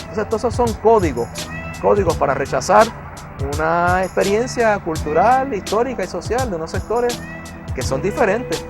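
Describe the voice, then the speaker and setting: A man speaks in a slightly high voice with academic precision and emphatic stress. Music plays softly under his words. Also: animated, normal speed, vocal fry. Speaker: interviewee. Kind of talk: documentary interview.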